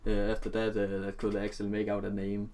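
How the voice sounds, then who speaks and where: Halting low-voiced man, slightly noisy mic. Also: completely flat delivery, darkish tone. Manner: halting, often interrupting. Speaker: gaming video host. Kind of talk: casual joking chat over a game recording. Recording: noisy home mic, keyboard clicks. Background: keyboard typing and clicking, background noise.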